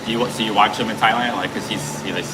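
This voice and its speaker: Loud rambling streamer man, low voice. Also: steady tone, fast talker, over loud music, low-quality recording. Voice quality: loud, carrying voice. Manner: rambling, many fillers and restarts. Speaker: livestream host. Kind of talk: casual chat while streaming. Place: city street outdoors. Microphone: streaming camera rig. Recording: clear street noise.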